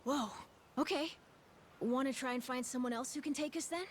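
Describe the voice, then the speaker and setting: A woman's voice: high-pitched, normal speed, a little hiss or hum, natural voice. A woman voicing a plucky, curious island child in a video game, offhand and earnest with a youthful, casual delivery. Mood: casual, friendly. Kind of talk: video game cutscene acting. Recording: music clearly behind the dialogue.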